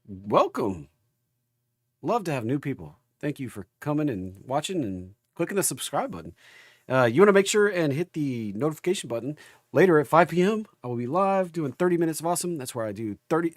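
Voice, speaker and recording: Guy with a gravelly voice getting over being sick, chatting casually on a stock livestream, folksy, dry close sound. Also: mid-range voice, dry recording. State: recovering from an illness. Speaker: host of a stock market livestream. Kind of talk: casual livestream chat and market commentary.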